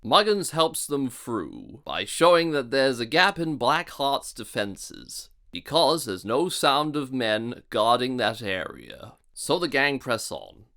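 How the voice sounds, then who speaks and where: A man's voice: mid-range voice, very animated, slow pace, few pauses, bright, forceful tone. A man narrating a scripted review in a sardonic manner.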